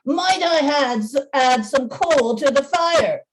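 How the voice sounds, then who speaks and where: A woman's voice: high-pitched, lively intonation, slow. A woman playing a timid, downtrodden poor clerk in a radio play, meek and hesitant.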